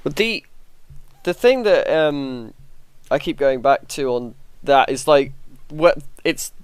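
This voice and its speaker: Man, smooth clear voice, casual discussion, podcast host, close dry sound. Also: medium pitch, lively intonation, takes it slowly, no room sound. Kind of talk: casual chat, discussing opinions.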